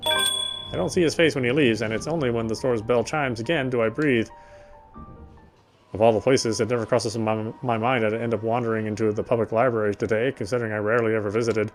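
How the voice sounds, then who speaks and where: A man's voice: mid-range voice, fairly even intonation, a little fast, soft background music. A man narrating a horror visual novel in the first person, reading steadily and often restarting his words.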